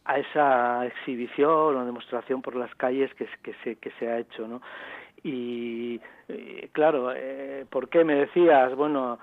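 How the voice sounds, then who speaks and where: Halting Spanish man explaining in an interview. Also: mid-range voice, fairly even intonation, no echo, phone-quality sound. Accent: Spain. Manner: halting, repetitive, digressive explaining. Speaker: author interviewed about own book. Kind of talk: conversational radio interview answers.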